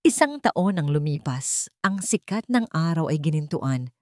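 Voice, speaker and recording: A woman's voice: deep voice, big pitch swings, unhurried, dry recording, clean studio sound. A woman with a Filipino accent reading a scripted romance story as a dramatic narrator.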